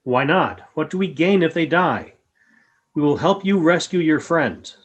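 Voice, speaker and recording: A man playing a calm, grave alien creature in a radio play, with a formal, measured way of speaking. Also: fairly high pitch, slightly rough. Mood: calm, guarded. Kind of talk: fan radio play acting.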